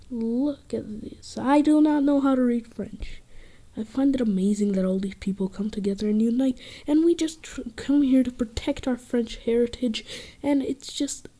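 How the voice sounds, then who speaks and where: A woman playing an earnest independence supporter in an improvised news interview, gushing in a halting way. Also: on the high side, dark tone.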